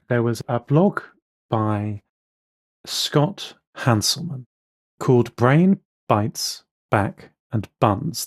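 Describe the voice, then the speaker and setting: A man's voice: medium pitch, no echo. A man co-hosting a casual podcast, opinionated and wry, with dry sarcasm in how he talks. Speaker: podcast co-host, programmer.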